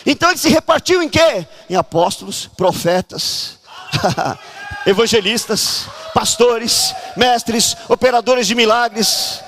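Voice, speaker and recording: Man from brazil preaching loud and fervent, really high pitched voice, church hall. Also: expressive, slow pace, slight background noise. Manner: fervent, often shouting, urging the congregation. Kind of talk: charismatic sermon.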